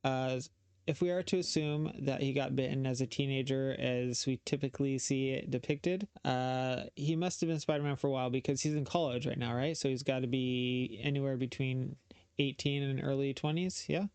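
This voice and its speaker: A man's voice: mid-range voice, fairly even intonation, normal speed, darkish tone, smooth, no room sound. A man giving casual reaction commentary as a host, often thinking aloud and hesitating.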